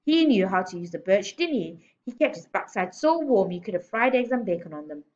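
A woman's voice: medium pitch, big pitch swings, fairly quick, a little mellow, muffled recording, lightly acted. A woman voicing a domineering, contemptuous headmistress who barks and sneers in a children's storybook reading. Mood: scornful. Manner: barking, scolding, sneering insults. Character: domineering, bullying adult headmistress, contemptuous and loud. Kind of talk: children's storybook read-aloud.

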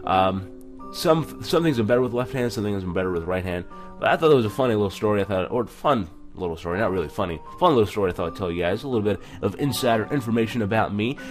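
A man's voice: lowish voice, lively intonation, fast, hardly any pauses, faint music behind. A man hosting a gaming video in a casual, chatty, self-deprecating way, reading text aloud with stumbles. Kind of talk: casual let's-play commentary with reading aloud.

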